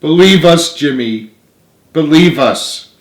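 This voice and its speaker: A man's voice: lively intonation, slow pace. A man voicing a kind, earnest orphan girl who pleads sincerely in a storybook reading. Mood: sincere, pleading. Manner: earnest, pleading, raised pitch. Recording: roomy, echoey home recording.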